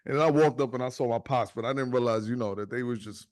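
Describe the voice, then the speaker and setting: A man in his thirties hosts a podcast in an easygoing, profane way. He tells stories with lots of slang and gets lively as they build. His voice sits at an ordinary middle pitch. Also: fast talker. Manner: slangy, profane, animated storytelling. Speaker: podcast host. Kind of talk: casual podcast chat.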